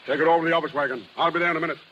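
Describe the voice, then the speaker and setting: High-voiced stern carnival boss. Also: male voice, fairly even intonation, very fast, sharp tone. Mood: scolding. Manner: emphatic, reproving. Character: stern carnival boss called Colonel, scolding yet loyal. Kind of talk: 1930s radio drama acting. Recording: dull, noisy 1930s radio recording.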